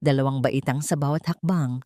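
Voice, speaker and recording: A woman with a low voice reads a dramatic story in a slow, measured, solemn way. The recording is dry and close, with no room sound. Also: steady tone, mellow, no room sound. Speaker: narrator. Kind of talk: scripted dramatic narration.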